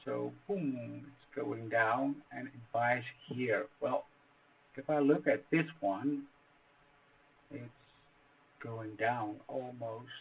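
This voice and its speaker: A man from the Netherlands with a Dutch accent, explaining a trading strategy in English, which is not his first language. He talks haltingly, with many fillers and restarts. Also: medium pitch, gravelly. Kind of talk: screen-share tutorial. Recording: tinny phone-like audio.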